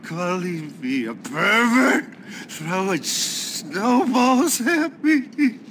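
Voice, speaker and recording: A man playing a drunken, deranged vagrant who claims to be Santa, whiny and rambling, with a gravelly, cracking voice, in a video game cutscene. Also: high-pitched, sing-song, extremely slow, fairly bright tone, slight background noise, exaggerated voice. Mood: bitter self-pity. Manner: whiny, rambling, self-pitying.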